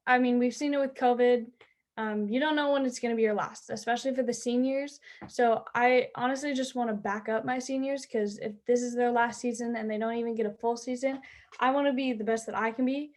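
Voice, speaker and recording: Chatty teenage girl softball player. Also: on the high side. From Southern California. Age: teens. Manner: bubbly, chatty, many "like" and "um" fillers. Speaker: high school softball player. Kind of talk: interview answers.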